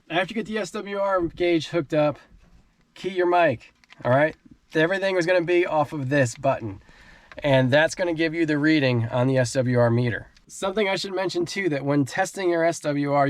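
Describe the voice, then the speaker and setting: A man's voice: mid-range voice, a little mellow, no echo. A man talking casually and informally as the host of a do-it-yourself car vlog, using plenty of slang as he explains things. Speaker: host of a car DIY channel. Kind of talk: casual how-to vlog talk.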